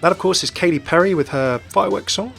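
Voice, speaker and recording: A man's voice: sits high, quick pace, gravelly, fairly bright tone, soft background music. A man presenting a gaming video in scripted explainer narration.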